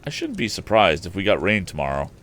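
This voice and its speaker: Man voicing a plucky young inventor, lively pitch swings. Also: fast, a little hiss or hum, exaggerated voice. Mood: musing. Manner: eager, exclamatory, thinking aloud to himself. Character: earnest, plucky young male inventor in an old adventure tale.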